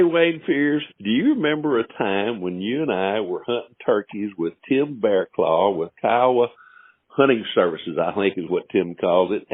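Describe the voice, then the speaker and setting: A man's voice: fairly low pitch, husky, dry recording. An older man hosting a podcast, reminiscing with a guest, laughing often and repeating his words. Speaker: podcast host. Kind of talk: casual interview chat. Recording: dry, narrow phone-like podcast audio.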